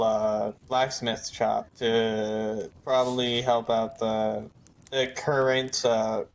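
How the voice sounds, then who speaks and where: Male tabletop player, slow halting delivery, medium pitch, casually thinking up story as he goes. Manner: hesitant, halting. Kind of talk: casual improvised game talk.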